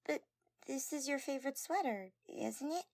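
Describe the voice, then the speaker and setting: A woman voicing a small, shy teenage girl mage in an audio story, low-key, hesitant and solemn about magic. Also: lively intonation, moderate pace, darkish tone, no echo, studio quality. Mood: quiet, hesitant.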